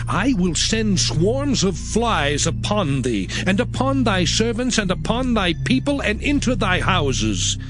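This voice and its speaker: An actor playing a solemn prophet in a scripture drama, speaking in a declamatory, commanding, deliberate way. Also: male voice, high voice, full character voice. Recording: music behind the voice.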